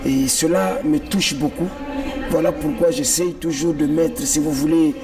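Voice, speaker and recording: A man's voice: a little high, fairly even intonation, a little slow. A man from the Congo, speaking earnestly as an activist guest in a TV interview and repeating points for emphasis. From Republic of the Congo. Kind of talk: political TV interview. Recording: distant mic with music behind. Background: clear background music.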